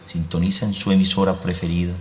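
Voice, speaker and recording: A man acting as the narrator of an eerie night-time horror radio play, telling it in a measured, dramatic way. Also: low-pitched, level tone, darkish tone, soft background music, natural voice. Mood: ominous.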